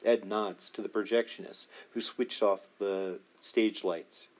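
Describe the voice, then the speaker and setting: A man's voice: fairly low pitch, steady tone, unhurried, dull audio. A man narrating a nonfiction book as an audiobook reader, in a scripted reading style.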